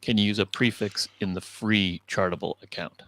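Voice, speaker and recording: A man's voice: fairly low pitch, steady tone, moderate pace, fairly bright tone. A man hosting an online talk, casually and politely stepping in with questions as moderator. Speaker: host relaying audience questions.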